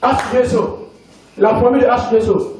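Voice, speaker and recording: A man's voice: very high-pitched, steady tone, moderate pace, background noise. A man from Congo preaching a sermon as pastor, emphatic and repetitive, drawing amens from the congregation. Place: church hall. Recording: echoey hall, mic from far off, congregation audible. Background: congregation responding amen.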